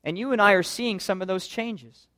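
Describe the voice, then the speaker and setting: A man's voice: high voice, fairly even intonation, moderate pace, pushed and bright, no room sound, studio quality. A man pastor preaching a sermon in an earnest, conversational way, full of rhetorical questions.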